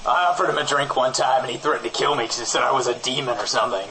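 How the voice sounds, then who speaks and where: A man playing a touchy, shifty small-town broadcaster who feigns innocence, deadpan and repetitive in improvised comic banter on a studio mic. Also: mid-range voice, very fast, heavy background noise, close to an everyday voice. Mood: exasperated. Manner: deadpan, evasive, emphatically repetitive. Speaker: co-host of a fictional small-town news show.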